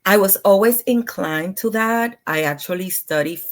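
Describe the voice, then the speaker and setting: A middle-aged woman from Puerto Rico, a clinical psychologist, speaking softly and unhurriedly in an interview conversation. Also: mid-range voice, moderate pace.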